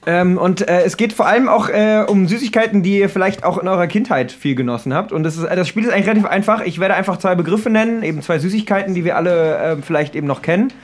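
A young man co-hosting a podcast in casual chat, explaining things in a halting, loosely phrased way. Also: sits high. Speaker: podcast co-host. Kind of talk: casual chat among friends.

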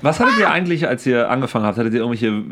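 A man's voice: mid-range voice, very animated, racing, a bit bright. A man co-hosting a podcast in a casual chat, enthusiastic and slangy, mixing English words into his talk. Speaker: podcast co-host.

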